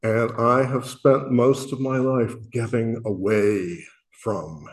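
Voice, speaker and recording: A man from Illinois with a booming voice, speaking earnestly and self-deprecatingly to a worship meeting, often pausing and restarting his sentences. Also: steady tone. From Illinois, USA. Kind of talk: reflective spoken message.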